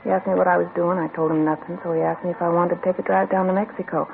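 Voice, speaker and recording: A woman's voice: lowish voice, flat delivery, very fast, vocal fry, slight background noise. An actress playing a guarded female informant in an old radio drama, blunt and clipped. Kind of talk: 1950s radio drama acting. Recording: tinny lo-fi old radio broadcast.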